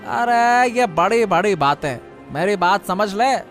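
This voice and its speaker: A man's voice: sits high, expressive, takes it slowly, a bit bright, faint music behind, heavily acted. A man voicing a boastful, scheming village fish seller in a cartoon story, with a taunting manner. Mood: mocking. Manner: mocking, taunting.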